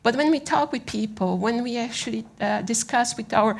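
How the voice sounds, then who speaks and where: A woman from Brazil with a Brazilian accent giving an informal conference presentation in a halting, conversational manner, often checking in with the listeners. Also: on the high side, gravelly. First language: Portuguese. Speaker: conference speaker on design.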